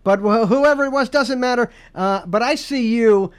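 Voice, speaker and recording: A man's voice: very high-pitched, moderate pace, clear, resonant tone. A man hosting a podcast, chatting casually in a rambling, joking way with lots of restarts. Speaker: podcast host. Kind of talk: casual comedic chat.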